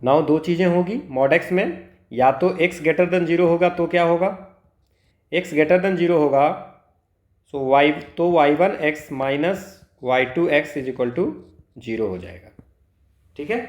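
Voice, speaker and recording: Slow-paced Indian man teaching, echoey room. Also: fairly high pitch, a little mellow. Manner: code-switches between two languages, asks himself questions, frequent check-words. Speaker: maths teacher for exam preparation. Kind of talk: informal lesson, working through problems step by step. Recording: roomy, echoing sound.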